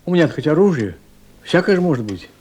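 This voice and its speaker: A man's voice: fairly high pitch, sing-song, fast, a little mellow, slightly rough. A man playing a kindly older policeman in a radio drama, folksy, plain-spoken and a little fussing. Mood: worried, advising. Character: kindly, homely old policeman on night duty. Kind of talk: Soviet radio drama acting.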